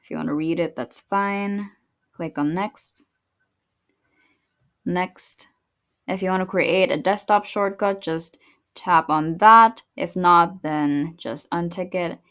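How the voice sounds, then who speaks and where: A woman with a slightly low voice narrates a how-to tutorial. She talks through each step in a casual, instructional style, as the host of the video. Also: slow pace, a bit bright.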